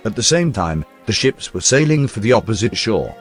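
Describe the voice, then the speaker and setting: A man reading a historical account aloud in a measured, storytelling documentary narration. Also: on the low side, very animated, soft background music. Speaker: reader of historical text.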